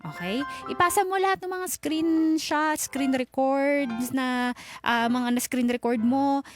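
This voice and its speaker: A woman's voice: sits high, slow pace. A Filipino woman hosting an advice show, speaking bluntly and scolding as she checks that the listener agrees. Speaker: radio advice show host. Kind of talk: frank advice to a caller. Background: background music.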